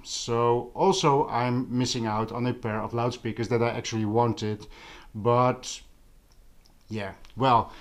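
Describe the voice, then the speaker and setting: Male vlogger, slow and halting delivery, casual thinking-aloud chat, mid-range pitch. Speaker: vlog host. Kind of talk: casual vlog chat about hobby audio gear.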